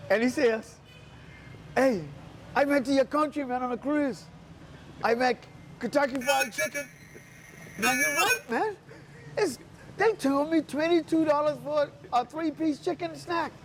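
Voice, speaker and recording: A man from Bermuda with a high voice chats as an interview guest. He tells stories conversationally, often quoting people and repeating himself. Faint outdoor noise sits behind him. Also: normal speed. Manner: animated anecdotes, quotes people, repeats phrases. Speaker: interview guest, restaurant owner. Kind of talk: casual storytelling chat.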